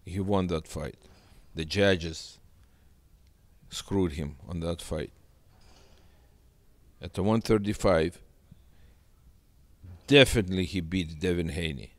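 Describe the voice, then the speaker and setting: Man, middle-aged, English, very low voice, emphatic, repeats himself, handheld mic. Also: takes it slowly, pauses often. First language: Russian. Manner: earnest, emphatic, repeats phrases. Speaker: boxing manager. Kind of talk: sit-down interview. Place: indoor studio set with sofa.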